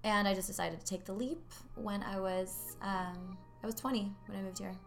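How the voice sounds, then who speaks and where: A woman's voice: medium pitch, fairly even intonation, normal speed, vocal fry, faint music behind. A woman in her twenties from Norway, chatting casually as an interview guest, with lots of fillers. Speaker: guest, local singer.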